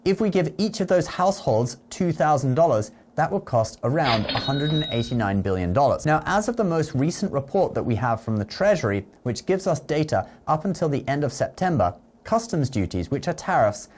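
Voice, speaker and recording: A man's voice: fairly high pitch, sing-song, brisk, a little mellow, a little hiss or hum. A man presenting online finance commentary in an explanatory way, often checking in with the listener with short tag questions. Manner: explanatory, checks in with "okay?" and "all right?". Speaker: finance news video host. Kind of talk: explainer commentary to camera with sponsor read.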